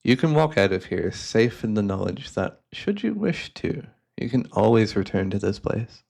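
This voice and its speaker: A man playing a devious, cultured hypnotist and collector in a fantasy ASMR roleplay, speaking in florid, theatrical phrases with a softly coaxing manner. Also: on the low side, very animated, normal speed, gravelly, heavily acted. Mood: warm, beguiling.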